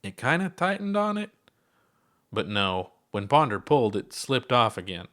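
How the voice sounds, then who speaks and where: A man narrating a story aloud in an expressive storybook style, as the author reading his own work. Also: medium pitch, normal speed.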